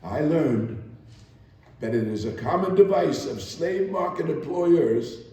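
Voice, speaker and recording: A man giving a theatrical first-person dramatic reading, deliberate and emphatic with dramatic pauses, recorded far from the mic in an echoey room. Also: on the high side, lively intonation, warm tone. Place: live performance in reverberant room.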